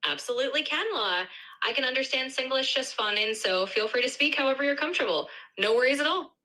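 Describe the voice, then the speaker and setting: A woman's voice: sits high, expressive, fairly quick, bright, forceful tone, poor audio. A synthetic female voice of an AI assistant replying in friendly, polished chat. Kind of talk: friendly scripted chat replies.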